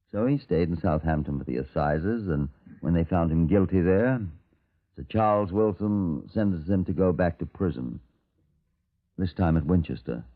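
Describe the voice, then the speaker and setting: Deep-voiced police inspector, old radio. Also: male voice. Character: shrewd, brisk Scotland Yard chief inspector, narrating his case. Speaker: detective narrator. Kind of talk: 1950s radio crime drama acting. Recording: muffled tinny 1950s radio recording.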